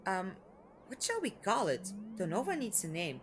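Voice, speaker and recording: A woman playing a curious, caring young travelling companion in an audio drama, questioning with gentle concern. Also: mid-range voice, wildly swooping pitch, normal speed, a little hiss or hum.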